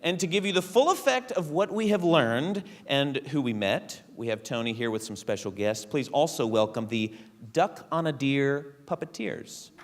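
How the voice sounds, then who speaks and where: A man's voice: mid-range voice, lively intonation, moderate pace, few pauses, some chatter behind. A man hosting a live stage show, introducing the next segment to an audience. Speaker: host. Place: theater stage, before an audience. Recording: reverberant theater hall.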